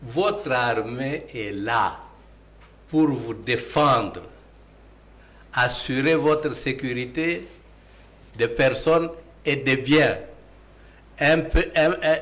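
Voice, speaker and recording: Man, Congolese accent, slow deliberate pace, mid-pitched voice, emphatic debater, rhetorical questions. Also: a bit bright. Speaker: debate panelist. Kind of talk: political debate.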